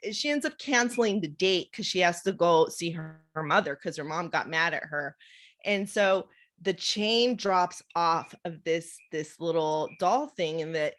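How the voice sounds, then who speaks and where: A middle-aged woman hosting a podcast, chatting casually and telling stories with lots of fillers. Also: lowish voice. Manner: chatty, animated storytelling with frequent fillers and laughs. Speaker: podcast host. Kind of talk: casual interview chat.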